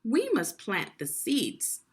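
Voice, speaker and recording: A woman's voice: on the high side, wildly swooping pitch, slow pace, slightly rough, voice pushed up, full character voice. A woman voicing the earnest, hardworking youngest brother in a children's storybook, speaking in emphatic declarations. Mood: determined.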